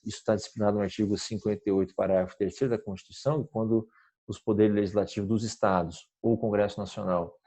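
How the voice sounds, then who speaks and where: A man's voice: fairly low pitch, steady tone, fast, warm tone. A man, teaching a recorded online law lecture in an explanatory way, restarting phrases and checking in with listeners. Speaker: law professor.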